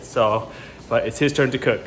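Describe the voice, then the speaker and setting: Easygoing firefighter dad, echoey station. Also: male voice, mid-range voice, normal speed. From Los Angeles. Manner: easygoing, explains plainly with fillers. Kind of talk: casual career-day talk to children. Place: fire station. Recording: echoey station room, far from the mic, noisy.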